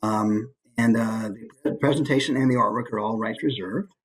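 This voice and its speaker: A man's voice: fairly low pitch, normal speed, low-quality recording. Man giving an informal, demonstrative talk. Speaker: voice actor giving a presentation.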